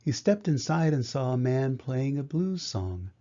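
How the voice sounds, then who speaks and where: An older man, a grandfather, reads a children's storybook aloud in a measured, gentle way. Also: mid-range voice, big pitch swings. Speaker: grandfather reading a picture book aloud. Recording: dull, muffled lo-fi sound.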